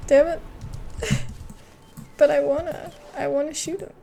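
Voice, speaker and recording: A young woman giving casual, slangy, excitable commentary as she plays a video game. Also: high-pitched, unhurried, creaky, slight background noise. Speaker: gameplay commentator. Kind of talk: casual gameplay commentary, reacting aloud.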